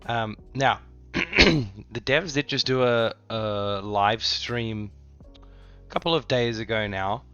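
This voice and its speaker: A man's voice: mid-range voice, takes it slowly, a bit bright, dull audio, soft background music. A man hosting a mobile game channel, chatting loosely with frequent ums and restarts while stuffy from a cold. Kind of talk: casual gaming update chat.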